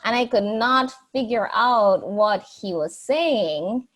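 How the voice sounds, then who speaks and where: A woman's voice: mid-range voice, expressive, slow. A young woman from Jamaica, answering interview questions in a chatty way, with many fillers and restarts. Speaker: guest.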